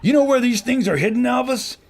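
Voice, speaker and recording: A man playing a brash, excitable filmmaker adventurer with a showman's bluster. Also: very high-pitched, brisk, creaky, faint music behind, exaggerated voice. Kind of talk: radio play acting.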